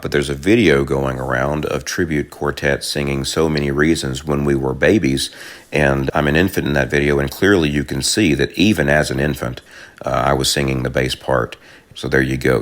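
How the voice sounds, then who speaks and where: Man with an extremely deep bass voice. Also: a bit bright. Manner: dry self-deprecating humor. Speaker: quartet bass singer. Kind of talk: interview clip.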